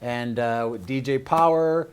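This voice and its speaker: A man's voice: mid-range voice, a little slow, smooth. An American man hosting a casual studio interview, talking in a rambling, hesitant way. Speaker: show host. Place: live studio.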